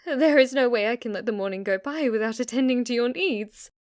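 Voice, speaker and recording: A woman's voice: a little high, brisk, no room sound, clean studio sound, natural voice. A woman playing an obsessively devoted, submissive maid in an ASMR roleplay, speaking formally, reverently and flirtatiously to her master. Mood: apologetic, eager servant.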